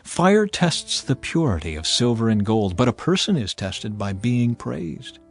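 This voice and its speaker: A man narrating scripture as a dramatized reading, with a theatrical, emphatic and deliberate delivery over background music. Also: medium pitch, very animated, creaky, husky. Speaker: Bible narrator.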